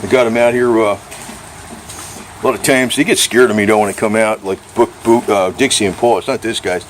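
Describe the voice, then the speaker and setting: A man with a fairly low voice talks off the cuff in a casual vlog. He rambles informally and swears a little as he shows his gear. There is faint steady noise behind him outdoors. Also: moderate pace. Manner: rambling, informal, mildly profane. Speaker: vlogger reviewing radio gear.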